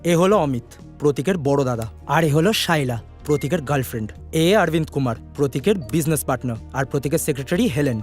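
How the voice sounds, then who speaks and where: Man acting a fast-talking, serious cartoon detective. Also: fairly high pitch, faint music behind, close to an everyday voice. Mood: deducing. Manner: decisive, commanding, urgent. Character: brisk, serious male detective giving orders to his team. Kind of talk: animated cartoon dialogue.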